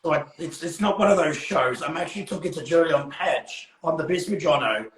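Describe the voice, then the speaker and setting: A male interview host speaks in a gravelly voice pitched a little high. He is effusive and casual as he runs a live online show, and the room around him rings with clear echo. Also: a little fast, a bit bright. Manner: effusive, exclaiming. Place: indoors, live social media stream.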